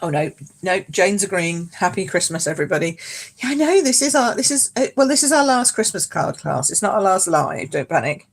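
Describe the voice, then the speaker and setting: A British woman with a croaky voice, hosting a live card-making class from home, chatting and greeting viewers by name as she works. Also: mid-range voice, sing-song, a little fast. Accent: British English. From United Kingdom. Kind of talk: casual live craft demo, chatting with viewers. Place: home craft space.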